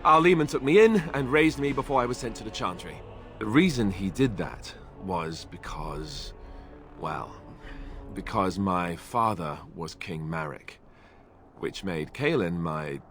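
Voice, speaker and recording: An actor playing an awkward, earnest young warrior in a fantasy video game, speaking in a hesitant, self-effacing, conversational way. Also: male voice, deep voice, lively intonation, measured pace, fairly bright tone, faint music behind. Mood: confessional. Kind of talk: video game cutscene acting.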